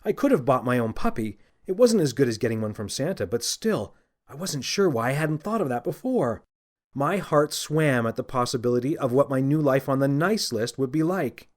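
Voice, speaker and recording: Expressive male children's audiobook narrator. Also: medium pitch, normal speed. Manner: dramatic, storytelling in first person. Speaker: author narrating own audiobook.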